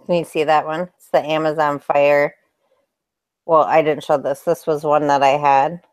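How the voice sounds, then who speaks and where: A woman's voice: deep voice, level tone, darkish tone, clean tone. Chatty, laughing woman co-host. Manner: chatty, laughs often, quick bursts. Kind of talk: casual livestream chat.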